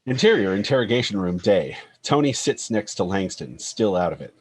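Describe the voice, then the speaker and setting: A man's voice: fairly low pitch, big pitch swings, moderate pace, breathy edge. A man narrating a screenplay's stage directions in a scripted table-read style.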